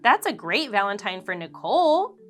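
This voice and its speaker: A woman voicing a cheerful, helpful young schoolgirl in a storybook read-aloud, speaking in a bright, encouraging way. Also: mid-range voice, sing-song, normal speed, soft background music.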